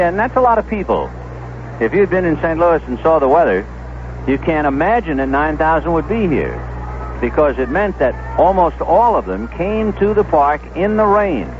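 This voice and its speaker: A man doing 1970s radio baseball play-by-play, measured and descriptive, telling small stories between pitches. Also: a little hiss or hum. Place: stadium broadcast booth. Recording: dull lo-fi vintage AM radio broadcast.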